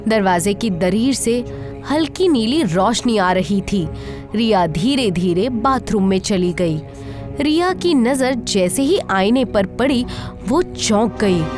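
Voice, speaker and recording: A woman's voice: mid-range voice, lively intonation, slow, music in the background. A woman telling a scripted horror story as narrator, in a suspenseful, theatrical manner.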